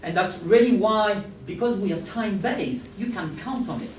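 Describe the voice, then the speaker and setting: A man's voice: high voice, normal speed, slight background noise. A man with a French accent giving a conference talk and often asking the audience if they follow. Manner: animated, asks the audience for agreement. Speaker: conference speaker. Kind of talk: presenting a talk. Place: large conference hall. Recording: roomy hall sound from a distance.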